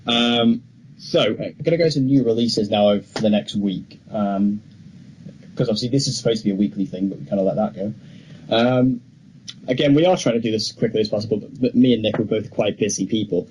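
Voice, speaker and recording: A man's voice: lowish voice, brisk, darkish tone, a little hiss or hum, rough recording. A young man co-hosting a podcast, talking casually and chattily about films with firm opinions. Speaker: podcast co-host. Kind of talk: casual film discussion.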